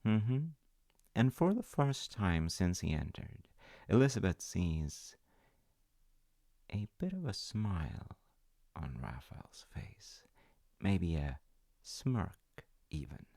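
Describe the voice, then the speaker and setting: Very deep, slow, gravelly male storyteller. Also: very animated, warm tone, no room sound, studio quality. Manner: measured, halting, wry delivery with dramatic pauses. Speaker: audio roleplay storyteller playing a dad. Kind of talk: intimate storytelling roleplay, ASMR.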